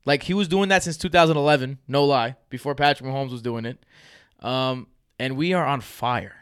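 A man's voice: mid-range voice, normal speed, clean tone, no room sound, studio quality. A man, hosting a fantasy football podcast in loose, joking chat with his brother. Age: 30s. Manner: animated, laughs often, loose and joking.

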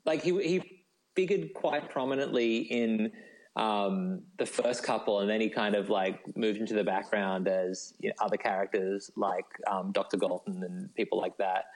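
A man's voice: on the low side, moderate pace, rough recording. A man chatting casually as an interview guest, thinking aloud and padding his sentences with "like" and "you know". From Australia. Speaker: guest, novelist.

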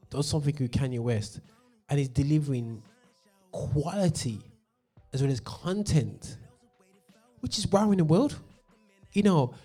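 Male radio host, mellow tone, passionate rant, faint music behind. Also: a little high. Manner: passionate, ranting, many fillers. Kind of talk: opinionated radio monologue. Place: studio.

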